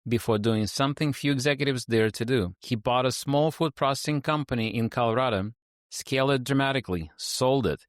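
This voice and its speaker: A man's voice: mid-range voice, fairly quick, no room sound, studio quality. A man hosting an interview podcast, reading a polished intro and then chatting with his guest, with many fillers and restarts. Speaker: podcast host.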